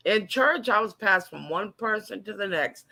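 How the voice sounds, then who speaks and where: A woman in her fifties telling animated stories in a casual group chat. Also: mid-range voice, normal speed, gravelly. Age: 50s. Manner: animated storyteller.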